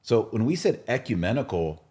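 Low-pitched man with very animated delivery. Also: fairly quick. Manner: opinionated, emphatic, poses rhetorical questions. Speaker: podcast host. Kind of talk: casual religious discussion, explanatory.

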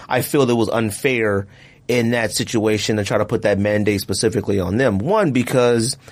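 Man, mid-range voice, podcast host, casual rambling chat, slangy filler phrases. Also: medium pitch. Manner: colloquial, rambling, heavy use of filler phrases.

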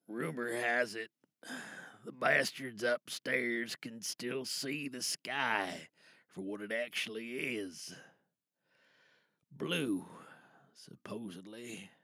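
A man voicing a weary, cynical fixer in a dystopian audio drama monologue, brooding and deadpan with a gravelly voice. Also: on the low side, dry recording, studio quality, voice pushed down, full character voice.

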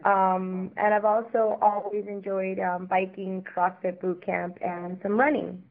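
A woman's voice: mid-range voice, level tone, measured pace. Woman guest in her forties, from Miami, chatty with lots of ums, tinny phone-line sound. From Miami, Florida. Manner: chatty, warm, frequent ums. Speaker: interview guest. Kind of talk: podcast interview.

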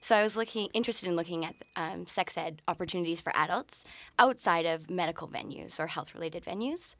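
A woman's voice: on the low side, a little fast, no room sound. A young woman answers interview questions as a podcast guest, casual yet articulate and explanatory. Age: young adult. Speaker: podcast guest, graduate researcher.

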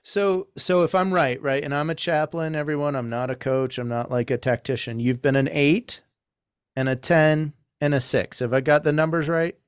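Man, team chaplain, smooth resonant voice, dry close sound, rambling questions. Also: a little high, fairly even intonation, moderate pace, no room sound. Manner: rambling, long questions with restarts. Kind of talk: casual interview.

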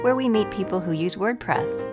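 A woman's voice: mid-range voice, moderate pace, loud music behind the voice. A woman co-hosting a podcast, asking guests friendly questions and laughing along in casual chat. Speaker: podcast co-host.

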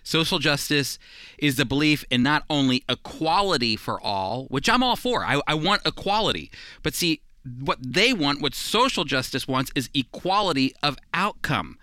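Style: radio Bible teaching. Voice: bright, clear man. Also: on the high side, big pitch swings, normal speed, no echo, clean studio sound.

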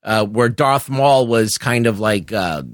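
A man's voice: on the low side, slow, dry recording, clean studio sound. A co-host, a man, explaining things in a casual, blunt and rambling way with strong opinions. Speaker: podcast co-host. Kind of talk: casual explanatory pop culture chat.

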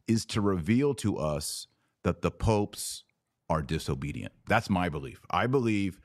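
A man's voice: sits low, expressive, unhurried, no room sound. A man hosting a conversational religious debate podcast, arguing emphatically with hypothetical examples. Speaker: podcast host.

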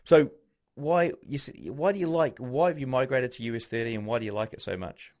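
A man's voice: mid-range voice, fairly quick, darkish tone. A man conducting a casual interview, asking conversational questions.

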